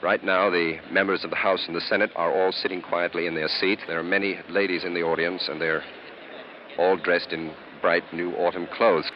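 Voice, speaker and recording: A man's voice: sits low, little pitch movement, normal speed. A man giving live 1930s radio commentary. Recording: muffled 1939 radio, loud crowd noise.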